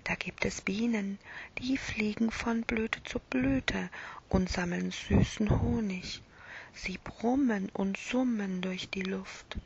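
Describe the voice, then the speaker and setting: A woman voicing a gentle little talking toy lamb in a soft whisper. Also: medium pitch, takes it slowly, vocal fry, slightly rough, exaggerated voice. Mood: soothing. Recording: dull lo-fi recording.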